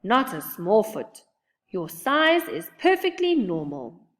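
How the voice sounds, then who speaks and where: A woman's voice: a little high, huge pitch swings, takes it slowly, in a large hall, voice pushed up, full character voice. Lady doing a corny bigfoot dad, hearty n teasing, drawn out words, storybook. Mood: encouraging. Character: jovial, encouraging bigfoot dad, hairy and corny.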